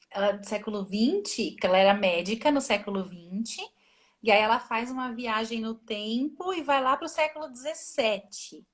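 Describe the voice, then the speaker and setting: A woman's voice: medium pitch, a bit bright. A woman translator, a guest on a live video stream, talking casually and thinking aloud with many restarts. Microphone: phone or laptop mic on a video call.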